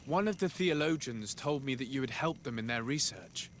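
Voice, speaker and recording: An actor playing a composed, firm envoy in a video game, polite, formal and direct. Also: male voice, medium pitch, animated, moderate pace, slight background noise, close to an everyday voice. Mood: probing. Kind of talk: video game cutscene acting.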